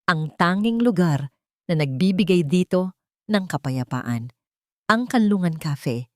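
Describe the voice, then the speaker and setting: A woman's voice: lowish voice, expressive, measured pace, no room sound, clean studio sound. A woman narrating a dramatic story in a scripted storytelling style.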